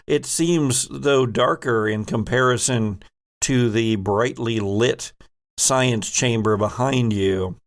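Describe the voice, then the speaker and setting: Gravelly man narrating as game master. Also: fairly low pitch. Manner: suspenseful, second-person scene-setting. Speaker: game master and host of a tabletop horror RPG podcast.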